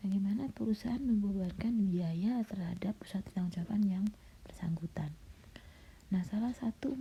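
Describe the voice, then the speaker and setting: A middle-aged woman teaching a recorded lecture, her voice dark, husky and gravelly, a little hoarse from coughing. She explains patiently, dotting her talk with small fillers. The recording sounds dull and lo-fi. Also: mid-range voice. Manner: patient, explanatory, with frequent "nah" and "ya". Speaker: university lecturer.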